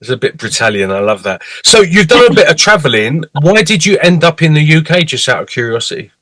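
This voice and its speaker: A man's voice: on the high side, big pitch swings, a little fast, pushed and bright, no room sound. A man hosting a talk show interview, hyping up his guest and joking around. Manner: hype-building, joking, British slang. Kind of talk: enthusiastic interview banter.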